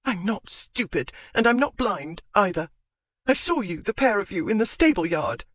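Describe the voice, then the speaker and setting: A reader voicing a jealous young wife in a loud marital quarrel, shrill and screaming, with a dry, close sound. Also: lively intonation, normal speed, slightly rough, dry recording. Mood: shrieking.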